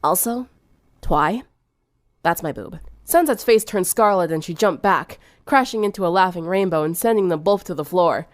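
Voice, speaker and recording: A woman's voice: lowish voice, a little fast, few pauses, no room sound, clean studio sound. A woman narrating fan fiction in a dramatic storytelling style.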